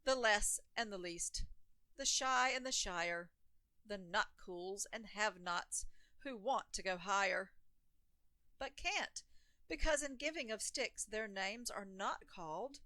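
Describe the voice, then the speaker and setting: A woman's voice: mid-range voice, lively intonation, slow pace, sharp tone, no echo. A woman reading a rhyming children's picture book aloud in a theatrical, emphatic storytelling manner.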